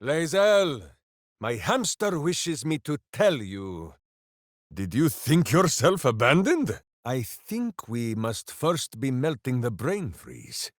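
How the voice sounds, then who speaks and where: A hulking, earnest hero who speaks of himself in third person. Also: male voice, mid-range voice, wildly swooping pitch, slow, dry recording, clean studio sound, heavily acted. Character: hulking earnest male ranger warrior with a pet hamster. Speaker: video game character. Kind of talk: game voice lines.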